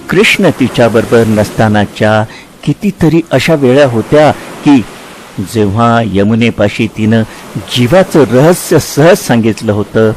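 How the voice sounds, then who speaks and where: A male narrator reads literary prose dramatically, with measured, expressive phrasing. His pitch is middling, and faint ambient noise sits behind the voice. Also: low-quality recording.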